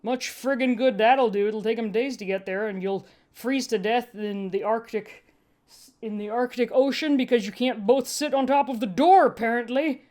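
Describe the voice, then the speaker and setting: A woman's voice: fairly high pitch, normal speed, a little mellow. A woman narrating a first-person story as a podfic reader, with a dry, wry delivery.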